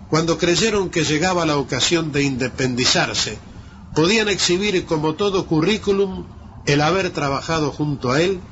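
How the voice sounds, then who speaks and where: A Uruguayan man presenting on the radio in a formal, measured and eloquent way, as if from a prepared script. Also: fairly high pitch, fairly bright tone. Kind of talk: scripted radio narration and interviewing. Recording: dull lo-fi radio recording with hiss.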